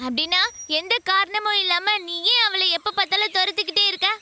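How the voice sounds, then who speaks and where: A woman's voice: extremely high pitch, fairly even intonation, a little fast. A woman voicing a tiny, bold, childlike ant in a children's cartoon, speaking with confident defiance. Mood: firm, bold. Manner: confident, reassuring, challenging.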